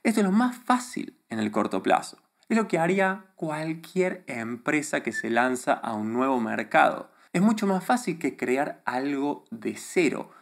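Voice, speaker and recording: A confident Argentine man with a softened accent explains his ideas directly to camera, his voice rising and falling in a very animated way. He sounds emphatic and sure of himself, speaking in a small studio close to a desk microphone. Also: a little high, a little slow, creaky, few pauses. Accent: neutralized Argentine Spanish. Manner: confident, emphatic, gestures a lot with his hands. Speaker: YouTube creator. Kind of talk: direct-to-camera advice talk. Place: small studio room before a plain grey backdrop. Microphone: desk microphone in front of him.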